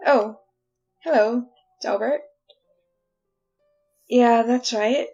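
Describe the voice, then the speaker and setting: Wry condemned prisoner, higher-voiced. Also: female voice, extremely slow, darkish tone, breathy edge, lo-fi sound, exaggerated voice. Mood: resigned, bitter. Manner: colloquial, confiding. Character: condemned prisoner, wry, resigned, folksy storyteller. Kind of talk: 1940s radio drama acting. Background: music.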